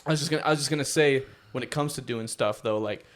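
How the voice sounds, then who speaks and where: Young guy hosting a podcast, medium pitch, chatty, stutters a bit and repeats words. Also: quick pace. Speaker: podcast host. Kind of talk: casual chat.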